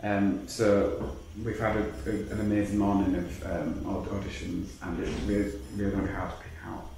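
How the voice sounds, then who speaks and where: Low male panelist, halting delivery, distant reverberant room, clear background noise. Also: little pitch movement. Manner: hesitant, searching for words. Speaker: audition panel member. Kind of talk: casual chat. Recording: echoey room from far off, noise behind.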